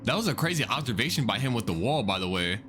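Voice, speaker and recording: A man hosting a reaction video, excitedly talking through a horror story, swearing and thinking out loud. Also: mid-range voice, fast. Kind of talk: casual reaction commentary.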